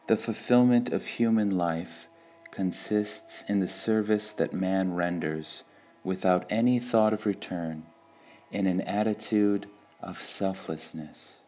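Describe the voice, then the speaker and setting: A man reading devotional sayings from a script in a measured, deliberate way, pausing between short phrases. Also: low voice, darkish tone. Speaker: reader of spiritual quotations.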